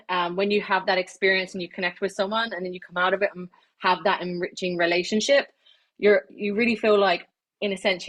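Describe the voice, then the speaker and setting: A woman speaking in a casual, chatty way during an online talk. Her voice sits at a middle pitch, and she fills her sentences with fillers and restarts. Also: a bit bright. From not Irish, living in Ireland. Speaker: webinar speaker. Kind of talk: informal presentation on networking. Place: online webinar, video call.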